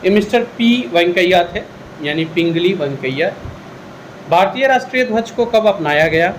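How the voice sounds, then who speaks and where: A man with a high-pitched voice teaches in a question-and-answer style. He explains each answer and drops in English words as he goes. A steady air-conditioning hiss sits faintly behind him. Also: normal speed, poor audio. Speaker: exam-prep history teacher.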